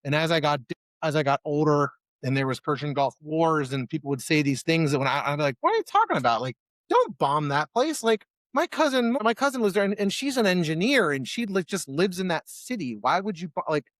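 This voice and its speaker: Male musician from Ohio, slightly high, dry close sound, casual rambling storyteller. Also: fairly quick, dry recording. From Ohio, USA. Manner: rambling, many restarts and fillers, animated when quoting himself. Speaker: musician guest. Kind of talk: casual conversation.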